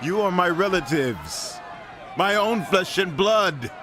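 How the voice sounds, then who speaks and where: A man's voice: high-pitched, expressive, slow, crowd noise, background noise. An actor playing a firm yet merciful king, speaking with declamatory authority in a dramatized audio Bible. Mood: rousing, earnest.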